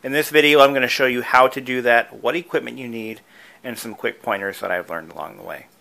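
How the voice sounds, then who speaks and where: A man's voice: mid-range voice, brisk, gravelly, pushed and bright, rough recording. A man narrating a casual how-to video as its host, explaining things informally with asides. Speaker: video creator and host, antique radio hobbyist.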